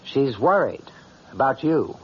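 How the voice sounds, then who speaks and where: A man acting in an old-time radio drama as a probing, skeptical confidant, husky, measured and knowing. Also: medium pitch, very animated, slight background noise. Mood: curious. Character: probing, skeptical male confidant, gently needling. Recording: dull, muffled radio drama recording.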